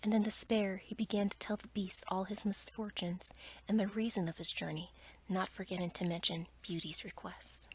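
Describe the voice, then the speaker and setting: A woman reading a bedtime story aloud in ASMR style, in a soft, breathy whisper with careful, hushed delivery. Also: medium pitch, steady tone, normal speed, slight background noise, low-quality recording.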